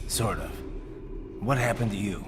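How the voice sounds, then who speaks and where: A man's voice: fairly low pitch, a little slow, noisy background, lightly acted. A male actor playing a guarded, protective cyborg ninja with an American accent and a terse delivery in a video game. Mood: serious, concerned. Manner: terse, restrained. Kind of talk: video game cutscene acting. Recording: long hall reverb, music behind.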